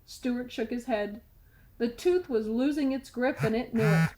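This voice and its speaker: A woman reading a children's storybook aloud as scripted narration, with deliberate, dramatic emphasis. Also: on the high side, heavy background noise.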